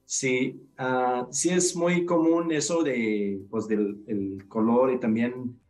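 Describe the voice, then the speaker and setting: A man's voice: mid-range voice, fairly even intonation, slow, a little mellow. Hesitant Mexican man, upbringing. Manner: hesitant, many restarts and fillers. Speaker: guest. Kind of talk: video call interview.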